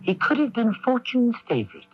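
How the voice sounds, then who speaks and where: A man's voice: extremely high pitch, big pitch swings, fairly quick, noisy background. A man hosting and narrating a radio drama in a theatrical, portentous, scripted style. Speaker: host and narrator. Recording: muffled AM radio broadcast tape.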